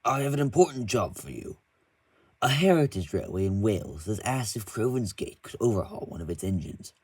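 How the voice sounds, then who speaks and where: A man's voice: fairly low pitch, very animated, fairly quick, a little mellow, husky, dry recording. A man voicing a formal, courteous male railway boss giving instructions.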